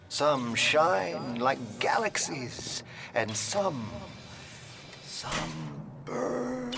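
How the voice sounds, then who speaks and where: An actor playing a flamboyant, vain and menacing male artist, delivering grandiose, drawn-out, pompous monologues in a video game. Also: on the low side, noisy background, heavily acted. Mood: sinister, intimate.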